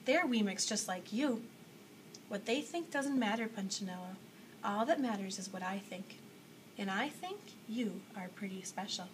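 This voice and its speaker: A woman voicing a kindly, big bearded male woodcarver in a storybook, speaking with gentle, patient reassurance. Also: medium pitch, animated, moderate pace, slight background noise, natural voice, rough recording. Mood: reassuring.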